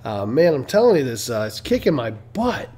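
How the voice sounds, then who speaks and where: A man's voice: medium pitch, big pitch swings, normal speed, warm tone, slightly rough. A middle-aged man from Detroit, congested, chatting casually and rambling as a livestream host, with lots of fillers and restarts. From Detroit, Michigan.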